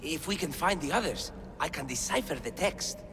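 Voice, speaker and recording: A man's voice: a little high, lively intonation, normal speed, gravelly, big-room sound, background noise. An actor playing an eager male scholar deciphering ancient writing, talking about his discoveries with enthusiasm. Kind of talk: game cutscene acting.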